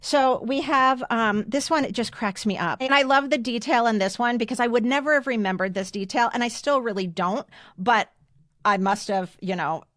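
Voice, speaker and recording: A woman's voice: fairly high pitch, normal speed, a bit bright, no echo, clean studio sound. A woman in her fifties with an American accent, telling personal stories casually and emphatically as a podcast co-host. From United States. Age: 50s.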